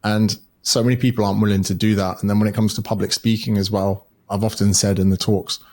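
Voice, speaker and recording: A man's voice: lowish voice, little pitch movement, fast, darkish tone. A man speaking as a podcast guest, an educator and author who talks bluntly and swears freely in casual conversation.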